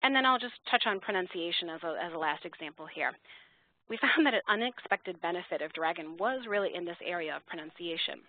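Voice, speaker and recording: A woman giving an explanatory, measured slide presentation to educators, partly scripted, as a webinar presenter. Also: fairly low pitch, expressive, dry recording. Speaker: software company webinar presenter. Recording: narrow-band phone line, dry.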